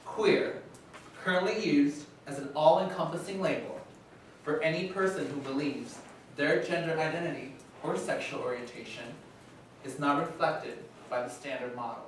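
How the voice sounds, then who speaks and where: Formal, declarative stage narrator, a male actor, highish voice, measured recitation, very echoey hall. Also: close to an everyday voice. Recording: echoey hall, distant mic, faint noise.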